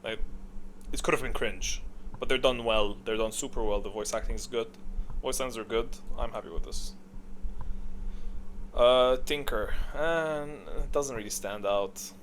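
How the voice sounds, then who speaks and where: A man's voice: medium pitch, measured pace, pauses often, a bit bright, slight background noise. A man giving casual, opinionated ranking commentary, thinking aloud in an informal way. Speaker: gamer video creator.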